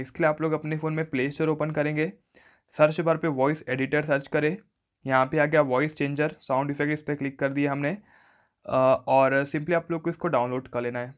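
A man giving an instructional phone tutorial voice-over, often repeating stock phrases. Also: a little high. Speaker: tech tutorial host.

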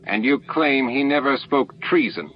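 A man's voice: medium pitch, expressive, unhurried, pitched above the performer's own voice, lightly acted. Guy doing a stern alien security officer, formal n accusing, dull tape sound. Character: stern, scowling male alien security chief. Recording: dull, noisy lo-fi book-on-tape recording.

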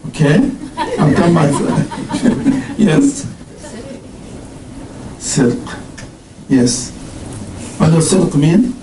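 A middle-aged man giving an interactive religious lesson, quizzing his class on terms, explaining them slowly and reciting Arabic verses. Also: on the high side, sing-song, reverberant, distant mic, background noise. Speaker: religious teacher. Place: indoor classroom with whiteboard.

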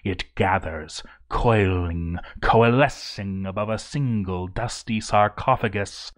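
Slow, ominous male storyteller. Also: fairly low pitch. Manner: theatrical, ominous, deliberate phrasing. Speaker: narrator. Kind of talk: dramatic scripted story narration.

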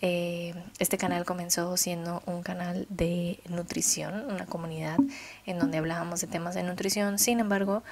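A woman's voice: lowish voice, level tone, normal speed, a little mellow. A woman in her twenties with a Mexican accent, chatting casually and explaining things as a vlogger. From Guadalajara, Mexico. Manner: chatty, explanatory, keeping it down at night. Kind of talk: casual storytime chat to camera. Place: small bedroom, indoors. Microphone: desktop microphone close in front.

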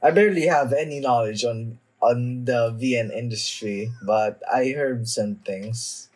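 Young male anime reactor, slightly sick, slow and hesitant, casual commentary with a mid-pitched voice. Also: a little mellow, breathy edge, rough recording. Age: young adult. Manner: halting, hesitant delivery. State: somewhat sick with a cough. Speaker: anime reaction video host. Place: small indoor room at home.

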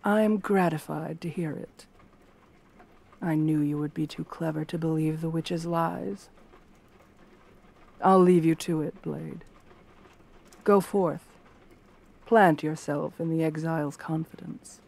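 A woman playing a stern, scheming royal guard captain in a fantasy roleplay, formal, measured and commanding. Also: low-pitched, steady tone, creaky, darkish tone, exaggerated voice. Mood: suspicious, satisfied. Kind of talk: scripted fantasy ASMR roleplay.

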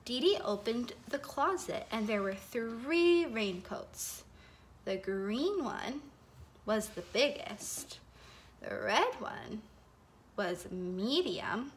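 A young woman reads a children's felt board story in a high, bouncy voice that swoops up and down. She takes her time, dropping to whispers and gasping for effect. It sounds like a phone recording made in a living room. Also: slow, slight background noise, poor audio. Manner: sing-song, expressive, gasps and whispers for effect. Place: home living room, indoors. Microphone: phone camera held over the felt board.

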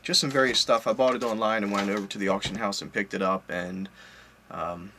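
A man's voice: on the low side, fairly even intonation, a little fast, sharp tone, a little hiss or hum. A man in his forties giving a casual, rambling vlog update from his home office, often correcting himself mid-sentence. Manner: chatty, rambling, self-correcting, offhand. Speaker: collector and online reseller. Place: home office full of collectibles, indoors. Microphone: webcam at desk, seated.

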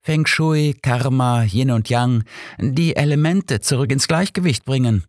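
A gloating, menacing male murderer, acted out by an audiobook narrator, taunting his victim with theatrical false cheer. The voice often lifts higher, in a very dry recording. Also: measured pace, darkish tone, no echo, lightly acted. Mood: gleeful. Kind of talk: audiobook character acting.

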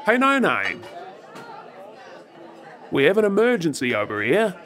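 A man's voice: sits high, huge pitch swings, fairly bright tone, exaggerated voice. Man acting a swooping, tipsy Australian flirt. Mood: flirty, mock-innocent. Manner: teasing, drawling, halting with hesitations. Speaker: audio roleplay performer. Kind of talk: ASMR audio roleplay, flirty monologue to the listener. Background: faint bar crowd chatter.

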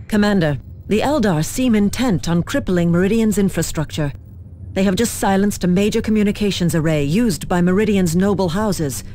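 Actress as a mellow, formal military liaison woman. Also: mid-range voice, slight background noise, natural voice. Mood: formal, urgent. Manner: formal, measured, official. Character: formal human military liaison officer woman, coolly official. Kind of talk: scripted game mission briefing.

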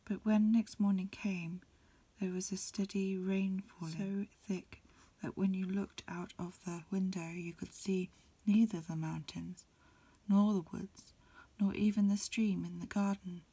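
A woman reading a storybook in a breathy ASMR whisper, softly and unhurriedly, phrase by phrase. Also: medium pitch, steady tone, a little slow, dry recording. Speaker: amateur reader. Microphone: lo-fi home recording.

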